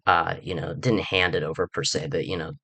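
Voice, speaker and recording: A young man with a very low yet bright, forceful voice talks through politics in a loose, rambling way, full of fillers and restarts. He sounds groggy after a recent illness. The recording is dry and close, with no room sound. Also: fairly even intonation, fairly quick, dry recording. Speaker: political channel host. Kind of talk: casual political commentary video.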